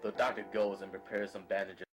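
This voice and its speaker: A man with a fairly low voice serves as narrator of a scripted drama, describing the action in the present tense. Music is clearly heard behind him. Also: flat delivery, brisk.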